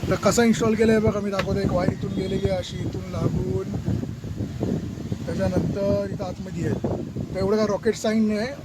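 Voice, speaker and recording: Guy w maharashtrian accent doing casual unboxing vlog, high voice, loud street-like noise behind. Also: steady tone, fairly quick. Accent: Maharashtrian Indian. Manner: informal, mixes in English words. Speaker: vlogger. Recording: very noisy, outdoor traffic-like background noise.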